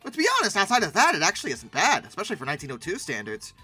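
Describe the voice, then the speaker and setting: A man's voice: high-pitched, huge pitch swings, racing, pushed and bright, breathy edge, soft background music. A man hosting casual, humorous commentary, speaking emphatically and repeating words for effect. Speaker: host of a paleoart commentary channel.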